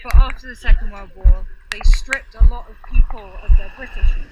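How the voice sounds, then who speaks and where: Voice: medium pitch, fairly even intonation, fairly quick, over loud music. An interviewer in a casual conversation, thinking aloud in a musing, reflective way. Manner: thoughtful, musing. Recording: distant, muffled mic with loud noise.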